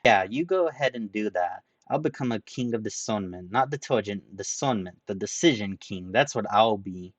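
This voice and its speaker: A man doing a weary, deadpan young man. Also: on the low side, normal speed, hardly any pauses, no echo. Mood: exasperated. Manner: dry, exasperated, calm corrections.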